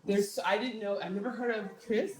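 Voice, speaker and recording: An American woman chatting curiously in a museum, soft-spoken and often trailing off. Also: medium pitch, steady tone, moderate pace, a little hiss or hum, poor audio. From United States. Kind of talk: casual conversation. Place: busy museum exhibit hall.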